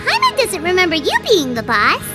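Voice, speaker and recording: A woman's voice: very high-pitched, wildly swooping pitch, fairly quick, full character voice. A woman voicing a small, chirpy female flying companion who talks about herself in the third person. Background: game music.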